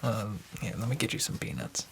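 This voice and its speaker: A man playing a gravelly noir bartender. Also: fairly quick, a little hiss or hum. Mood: chatty. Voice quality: gravelly low whisper. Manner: hesitant, chatty, with frequent uhs. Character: friendly, nosy old-school noir bartender, man. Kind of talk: ASMR noir radio drama.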